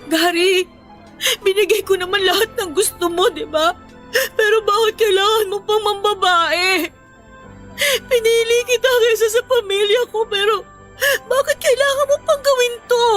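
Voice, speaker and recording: A woman's voice: very high voice, fairly bright tone. A woman with a Filipino accent playing a betrayed, hot-tempered young fiancée in an emotional, melodramatic radio drama style. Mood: tearful pleading. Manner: melodramatic, emotional, pleading and accusing.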